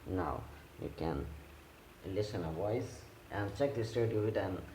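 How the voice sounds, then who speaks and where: A man who speaks English as a second language, giving hands-on repair instructions in a halting, simple step-by-step way. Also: fairly low pitch. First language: not English. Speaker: repair tutorial host. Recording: echoey room with clear background noise.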